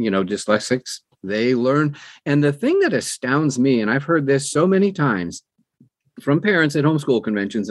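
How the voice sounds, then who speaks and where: A man's voice: lowish voice, no echo. A middle-aged American man answering conversationally as an interview guest, explaining earnestly and emphatically with anecdotes. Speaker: podcast guest, audiobook narrator.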